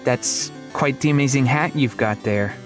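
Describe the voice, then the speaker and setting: A man's voice: mid-range voice, moderate pace, music in the background, close to an everyday voice. A man voicing a haughty, chatty young girl librarian from a storybook, prim and easily flustered. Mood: nervously chatty. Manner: prim, indignant, talkative. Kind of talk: storybook character acting.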